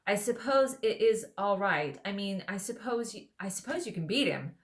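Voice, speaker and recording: A woman's voice: medium pitch, expressive, normal speed, close to an everyday voice. A woman voicing a young male prince who speaks hesitantly. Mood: uneasy.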